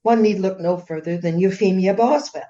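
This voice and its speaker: A woman playing a proud, indignant Regency gentlewoman in a radio play, speaking formally and emphatically in defence of her husband. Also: medium pitch, normal speed, dull audio, natural voice. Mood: haughty, affronted.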